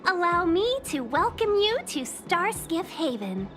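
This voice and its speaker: A woman playing a polite young fox woman, a smooth-spoken envoy and guide in a video game, with courteous, formal phrasing. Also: very high voice, big pitch swings, a little slow, full character voice. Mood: courteous, welcoming. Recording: music behind the voice.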